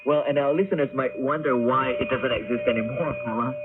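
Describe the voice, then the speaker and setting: A man's voice: mid-range voice, a little fast. A man working as a radio host, announcing and chatting with a co-host. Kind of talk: radio announcing and co-hosted chat. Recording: tinny shortwave radio recording with music.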